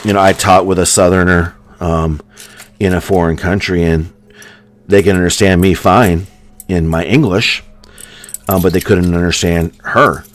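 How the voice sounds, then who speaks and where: Low-voiced, rambling American man. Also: fairly even intonation, unhurried, dry recording. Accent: General American. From United States. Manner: rambling, filler-heavy, with many ums and likes. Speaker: coin hunting streamer. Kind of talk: casual chat to a live audience.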